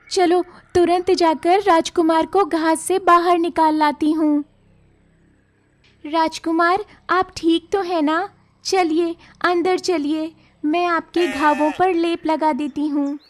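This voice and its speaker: A woman voicing a kind, brave young village woman in a cartoon story, earnest and expressive. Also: slow. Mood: relieved. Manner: earnest, expressive, high-voiced. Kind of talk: Hindi cartoon moral story dialogue.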